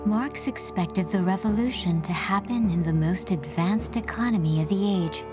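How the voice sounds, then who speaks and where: A woman narrating a documentary from a script, slow and measured in a solemn tone. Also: mid-range voice. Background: new-age music.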